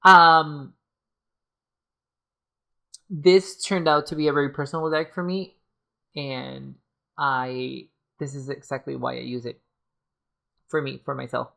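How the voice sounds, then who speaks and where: A woman's voice: a bass voice, steady tone, takes it slowly, vocal fry, pauses often, fairly bright tone. A woman giving a casual video review, talking hesitantly with many fillers and restarts as she loses her voice. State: losing her voice. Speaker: tarot deck reviewer.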